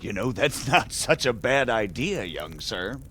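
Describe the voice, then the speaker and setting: A scheming medieval margrave in a game drama. His pitch swings widely as he argues with aristocratic, rhetorical emphasis, over a faint low rumble. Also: big pitch swings, unhurried, fairly bright tone. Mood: approving. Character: shrewd scheming medieval margrave, persuasive and impatient. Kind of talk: dramatic game cutscene acting.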